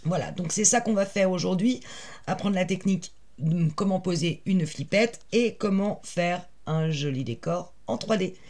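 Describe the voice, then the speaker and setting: A woman with a naturally raspy voice and a head cold, chatting casually with many fillers as she explains a paper craft project step by step while she works. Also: fairly low pitch, normal speed, darkish tone. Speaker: crafting tutorial host.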